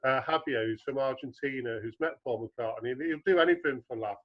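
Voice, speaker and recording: A man with a low baritone voice, a guest author in a podcast interview, explaining at length with homely comparisons. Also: fast, muffled recording.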